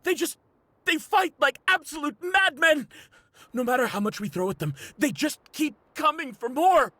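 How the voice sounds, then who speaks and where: An actor playing a panicked, deferential mercenary underling in a video game, speaking in frantic alarm. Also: male voice, very high-pitched, expressive, normal speed, sharp tone, full character voice. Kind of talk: dramatic video game voice acting.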